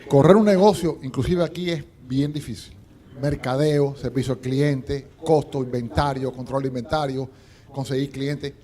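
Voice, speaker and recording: Very mellow-voiced man questioning a panel. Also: mid-range voice, steady tone, moderate pace. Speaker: psychologist and author questioning a panel. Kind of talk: unscripted public question.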